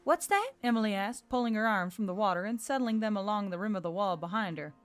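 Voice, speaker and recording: A woman's voice: mid-range voice, fast talker, clear, resonant tone. A woman reading scripted fantasy fiction as an audiobook narrator. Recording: music bed under the voice.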